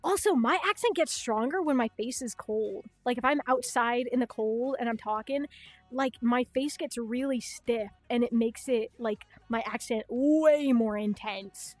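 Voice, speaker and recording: A young woman with a Chicago-style Midwestern accent, raised in Minnesota and South Dakota, chatting quickly and swearing freely as a livestream host late at night from her bedroom. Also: on the high side, no room sound, soft background music. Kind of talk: casual late-night stream chat. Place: hot bedroom at home.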